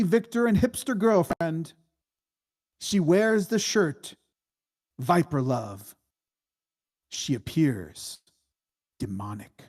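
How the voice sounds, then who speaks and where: A man reading screenplay stage directions as dramatic narration, in a deliberate, suspenseful way. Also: high-pitched, a little mellow.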